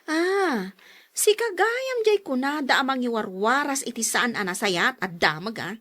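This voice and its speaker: Actress as furious young woman, high bright forceful voice, heated scolding, swinging intonation, radio drama. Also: animated, a little slow, no echo, exaggerated voice. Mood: defensive. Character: furious young woman fighting back against a gossip.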